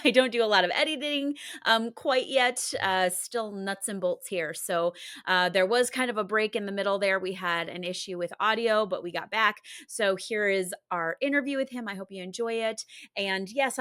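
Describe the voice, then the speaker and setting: A woman's voice: medium pitch, a bit bright, dry recording, clean studio sound. A woman hosting a podcast, talking in an informal, chatty way. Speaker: podcast host. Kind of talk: casual podcast introduction and interview chat.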